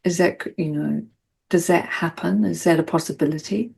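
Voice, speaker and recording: A woman's voice: on the low side, normal speed, vocal fry, a little mellow, slightly rough. A woman hosting a podcast, chatting casually as she puts questions to her guest. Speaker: podcast host interviewing a guest. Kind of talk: casual interview chat.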